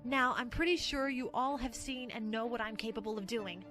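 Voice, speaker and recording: A woman's voice: on the high side, normal speed, fairly bright tone, soft background music, close to an everyday voice. A woman voicing an ambitious, jealous young female pony student in an audio drama, smug and grumbling. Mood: smug, boastful.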